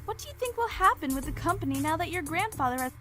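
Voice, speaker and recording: A nosy visual novel party guest. The voice is extremely high, quick and piercingly bright, eager and prying. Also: female voice, sing-song, soft background music, heavily acted. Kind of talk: visual novel character reading.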